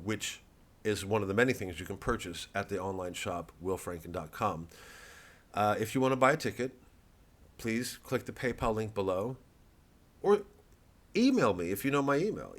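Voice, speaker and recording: A comedian rambling through a sardonic, profane monologue full of digressions. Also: male voice, on the low side.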